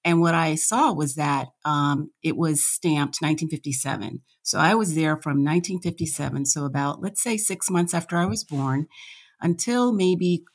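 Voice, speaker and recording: A woman in her sixties, an interview guest, speaking reflectively with hesitant restarts. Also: sits low. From United States. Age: 60s. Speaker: guest, fashion analyst. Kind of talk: conversational interview.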